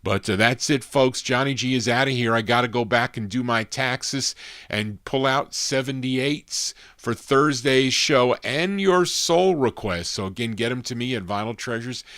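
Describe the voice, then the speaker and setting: A man with a baritone voice chatting casually and in a rambling, folksy way as the host of a live vintage record show. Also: a little slow, fairly bright tone, no echo, studio quality. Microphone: studio microphone.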